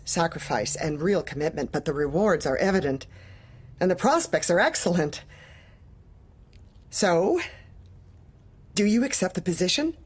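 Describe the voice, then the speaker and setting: A cold female corporate boss in an audio drama, with a lowish, husky voice. She speaks formally and deliberately, every polite question carrying quiet menace. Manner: measured, formal, probing. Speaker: actress.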